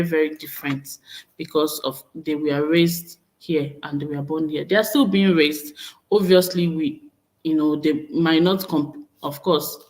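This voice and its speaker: A woman's voice: sits low, poor audio. Chatty Nigerian woman vlogger. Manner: chatty, repeats and corrects herself, reads viewer comments aloud. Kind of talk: casual talk to camera.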